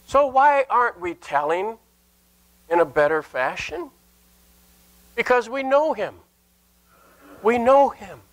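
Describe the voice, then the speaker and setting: An older man, a Lutheran pastor, preaching a sermon in an earnest, emphatic manner with deliberate pauses and repeated phrases. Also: very high voice, sing-song, fairly bright tone, poor audio. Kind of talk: sermon with scripture and anecdote. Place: indoor church sanctuary at a lectern.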